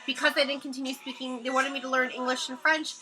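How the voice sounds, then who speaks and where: Young woman livestreamer whose first language is hebrew, high voice, chatty and sweary, music faint in the back. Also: little pitch movement, fast, poor audio. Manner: chatty, swears freely, laughs often. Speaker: livestream host. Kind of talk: casual chat reading viewer comments. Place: home studio while painting.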